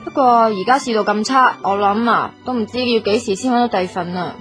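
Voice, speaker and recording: A woman's voice: mid-range voice, a little fast, fairly bright tone, faint music behind, close to an everyday voice. A woman with a Hong Kong accent playing a perky, eager young woman with a secret in a comic radio drama, coy and chirpy. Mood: innocent, evasive.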